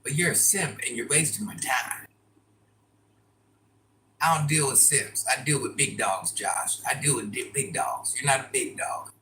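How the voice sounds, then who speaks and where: Woman, deep voice, blunt and sassy, bright forceful tone, faint background noise. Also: measured pace, poor audio. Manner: blunt, sassy, talks straight to commenters. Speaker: online personality answering viewer comments. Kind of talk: casual livestream chat.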